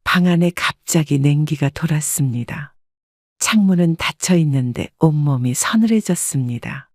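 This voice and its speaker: A woman narrating a first-person life story in a calm, measured, reflective way. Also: sits low, no room sound, studio quality.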